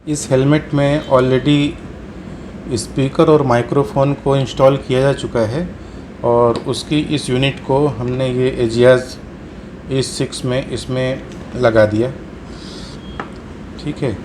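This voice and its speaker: A man's voice: medium pitch, little pitch movement, slow pace, pauses often. Indian man demonstrating gear over background noise. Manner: explanatory, step-by-step, repeats points. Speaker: host of a motorcycle gear tutorial. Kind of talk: hands-on product demonstration.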